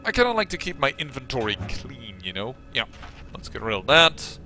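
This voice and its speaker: A man's voice: mid-range voice, sing-song, a little fast, pushed and bright, soft background music. A Dutch man with a Dutch accent, hosting a let's play and rambling in chatty, self-mocking commentary. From Netherlands. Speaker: gaming channel host.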